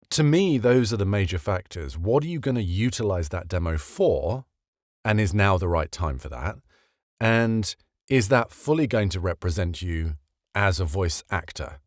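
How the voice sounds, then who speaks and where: A man's voice: fairly low pitch, no echo, clean studio sound. A man talking casually and explaining like a coach, posing questions and repeating key words for emphasis. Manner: conversational, rhetorical questions, emphatic repetition. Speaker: voiceover coach giving career advice. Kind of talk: casual explanatory talk to camera.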